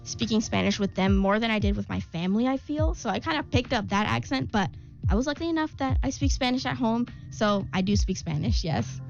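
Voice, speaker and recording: A woman's voice: mid-range voice, lively intonation, a little fast, vocal fry, lo-fi sound, soft background music. A young woman from Long Island, a student club president, answering interview questions in a chatty, enthusiastic way with many fillers. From Long Island, New York. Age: young adult.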